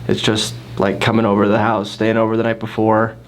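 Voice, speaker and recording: A man's voice: lowish voice, monotone, a little fast. A 23-year-old man in a casual interview, rambling and amused at himself.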